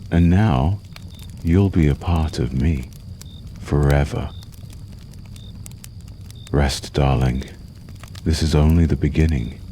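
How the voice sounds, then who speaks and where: Very deep, slow vampire lover acted by a man. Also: warm tone, a little hiss or hum, exaggerated voice. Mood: possessive, coaxing. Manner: slow, soothing, menacingly tender, lingering pauses. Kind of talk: ASMR roleplay, intimate storytelling.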